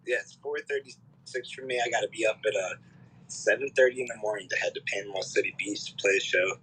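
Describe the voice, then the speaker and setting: A man's voice: fairly low pitch, quick pace, bright, forceful tone, slightly rough, rough recording. A young man from Canada, a musician guest, chatting casually and rambling with lots of fillers and swearing. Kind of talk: casual interview chat.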